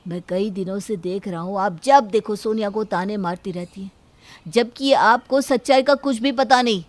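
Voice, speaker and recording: A woman's voice: mid-range voice, normal speed, lightly acted. A woman playing an indignant, reproachful young husband in a family moral story. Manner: reproachful, protesting. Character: indignant young husband defending his wife.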